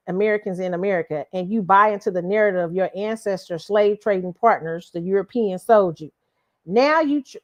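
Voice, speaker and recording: A woman hosting her own commentary show, reacting to a clip bluntly and emphatically. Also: medium pitch. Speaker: show host giving commentary.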